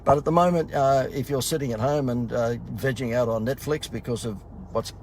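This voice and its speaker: A man, a marketing consultant chatting casually and jokingly in a promo vlog, a little husky from talking too much. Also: medium pitch, fairly even intonation, brisk, a little hiss or hum. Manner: rambling, joking, many fillers and restarts. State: slightly husky from talking a lot. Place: in a car.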